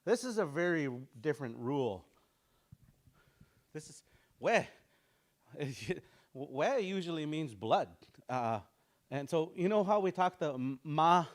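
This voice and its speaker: A man teaching an online language class, drilling words over and over in a relaxed, informal way and chuckling often. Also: a little high, slow, pauses often.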